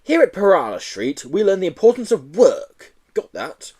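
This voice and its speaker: A man acts out a stern male headmaster from a children's story. He issues curt, bullying orders, his pitch rising and swinging sharply. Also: huge pitch swings, moderate pace, darkish tone, husky, exaggerated voice. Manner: curt, commanding. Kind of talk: children's audiobook character reading.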